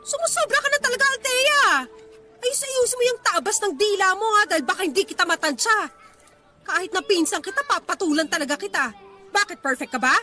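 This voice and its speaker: Babaeng gumaganap na palabang dalagang napakatinis ng boses. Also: female voice, extremely high pitch, lively intonation, a little fast, over music. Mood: furious. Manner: indignant, combative. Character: feisty, lovestruck young woman defending her crush. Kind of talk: acted Tagalog audio drama dialogue.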